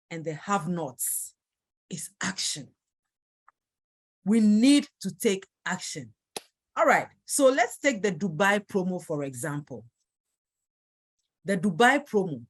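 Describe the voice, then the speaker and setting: A woman with a Nigerian accent giving a motivational sales training talk, stressing and repeating her key points. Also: mid-range voice. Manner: emphatic, repeats key phrases. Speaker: network marketing team leader.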